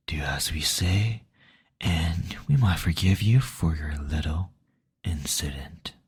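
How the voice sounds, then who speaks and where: A man voicing a stern, possessive demon lord in a slow, domineering, intimate roleplay style. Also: low-pitched, heavily acted. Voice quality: breathy, husky. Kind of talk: intimate scripted roleplay audio.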